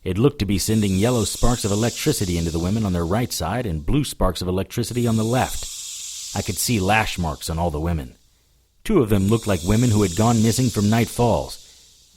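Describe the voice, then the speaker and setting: A man's voice: lowish voice, a little mellow, slight background noise, close to an everyday voice. A man playing a determined, hard-boiled witch hunter, narrating an audio drama in the first person. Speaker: lead character and narrator.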